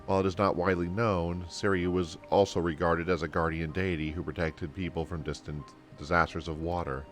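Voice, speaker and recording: A man's voice: low-pitched, little pitch movement, brisk, faint music behind, lightly acted. A man voicing an earnest female storyteller from a fantasy game, reading her dialogue in formal, archaic, kindly phrasing. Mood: solemn storytelling. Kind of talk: game dialogue read aloud.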